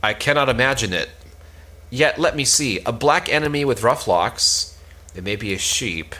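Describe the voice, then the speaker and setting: A man reads the part of a wry young page in an old stage play. He questions and reasons in theatrical period speech. The tone is bright, with pitch that moves freely. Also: animated, moderate pace, poor audio. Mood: puzzled, wry. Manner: theatrical cold reading, questioning. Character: Epi, wry young male page serving the braggart knight.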